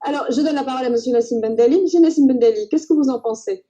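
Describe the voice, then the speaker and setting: A woman's voice: high-pitched, rapid-fire, dark tone. A woman moderating a political debate, politely stepping in to clarify and pass the floor, on dull lo-fi audio. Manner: polite, interjecting to clarify and hand over.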